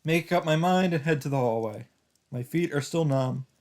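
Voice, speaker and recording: A man's voice: on the high side, normal speed, darkish tone. A man hosting a let's play, reading a visual novel's first-person narration aloud with occasional asides of his own. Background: game music.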